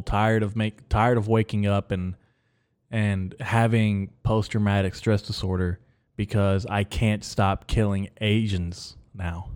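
A man born in Mexico hosting a podcast from his bedroom, rambling through absurd dark jokes in a straight, deadpan delivery with many ums. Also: fairly low pitch. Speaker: podcast host. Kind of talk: rambling deadpan comedic monologue.

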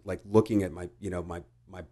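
A middle-aged American man telling personal stories casually, halting and reflective, with some swearing. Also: low-pitched, fairly even intonation, mellow. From United States. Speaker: podcast guest. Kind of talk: casual conversational storytelling.

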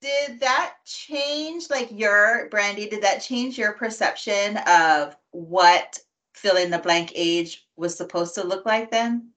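A woman's voice: medium pitch, slow, a bit bright. Playful woman in her forties, laughing. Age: 40s. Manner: playful, laughs often, self-teasing. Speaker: podcast host. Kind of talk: casual chat.

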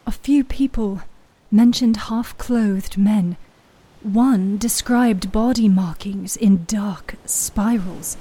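A woman's voice: mid-range voice, slow pace, mellow, breathy edge, low-quality recording. A woman voicing an exhausted but steadfast woman fighter in a story podcast. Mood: alert, reporting.

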